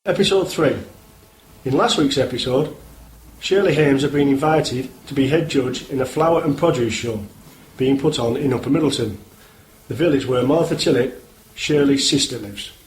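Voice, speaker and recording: A man's voice: mid-range voice, moderate pace, slight background noise. A man reading a scripted introduction as a radio play announcer. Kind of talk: scripted narration. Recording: echoey voice over loud music.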